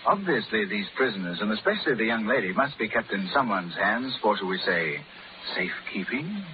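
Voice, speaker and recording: Gentleman buccaneer, shrewd, ironic, theatrical delivery, animated intonation, 1930s radio drama, narrow tinny sound. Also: lowish voice, a little fast, noisy background. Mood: swaggering. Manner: suave, ironic, crisply theatrical. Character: cool, shrewd, swaggering gentleman pirate captain.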